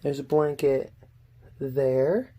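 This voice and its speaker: A woman's voice: a bass voice, very slow, warm tone. A woman who works as a stage actor, speaking casually and explaining things in a conversational way. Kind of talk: casual spoken interview.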